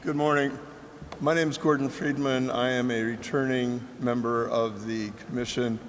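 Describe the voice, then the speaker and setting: A man, a returning commission member, giving a deliberate formal statement. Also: mid-range voice. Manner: flat, deliberate. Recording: echoey hall with faint noise.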